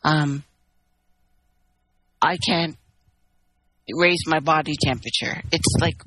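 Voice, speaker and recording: Brief: Canadian-born woman, bright tone, candid and wry, dry sound. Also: low-pitched, steady tone, slow pace, no room sound. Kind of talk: casual phone-in chat.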